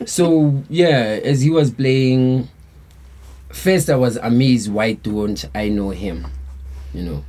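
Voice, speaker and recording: Husky dark-voiced South African man. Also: mid-range voice, takes it slowly. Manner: laid-back, rambling, peppered with slang fillers. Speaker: band member, guest. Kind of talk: casual storytelling interview.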